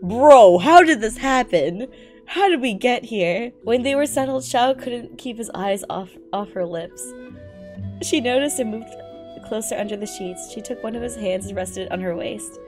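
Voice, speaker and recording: A woman's voice: fairly high pitch, lively intonation, normal speed, over music. A woman streamer in a giggly, slangy, incredulous style, reading aloud and reacting casually.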